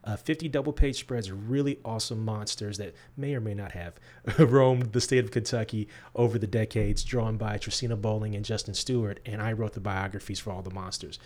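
Soft-spoken man, low-pitched, thoughtful. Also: fairly even intonation. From born in Georgia, grew up in the US Midwest. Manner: thoughtful, frequent "um" pauses. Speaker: guest author. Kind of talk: casual interview answers.

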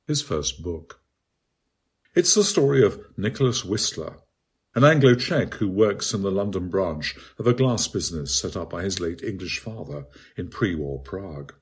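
A man reads his own literary essay aloud as scripted narration, in a measured, articulate and bookish manner. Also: low voice, husky. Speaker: author reading own article.

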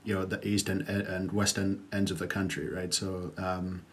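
A man in his thirties with a fairly low voice speaks as a podcast guest. He thinks aloud and hesitates, and he often adds fillers and "right?" tags. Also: level tone, moderate pace, a little hiss or hum. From Uganda. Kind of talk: conversational podcast interview.

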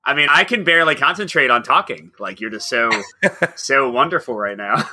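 Upbeat guy on a podcast, kinda high voice, jokey, dry close mic. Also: sing-song, unhurried, sharp tone, dry recording. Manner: upbeat and teasing, laughs often. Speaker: podcast co-host. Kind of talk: casual podcast chat.

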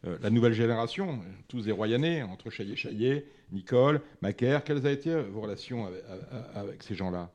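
Chatty male interviewer, medium pitch. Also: expressive, darkish tone. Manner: chatty, halting, interjects often. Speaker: interviewer, show host. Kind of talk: informal live interview.

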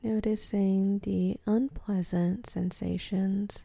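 Woman, meditation guide, very dark mellow tone, gravelly fry, soft half-whisper, long pauses. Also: mid-range voice, flat delivery, no room sound.

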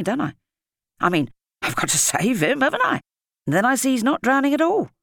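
Woman acting a pompous lollipop man, swooping pitch. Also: creaky, fairly bright tone, no echo, studio quality, exaggerated voice. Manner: breathless spluttering and puffed-up boasting. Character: pompous, bossy middle-aged male lollipop man, self-important fibber.

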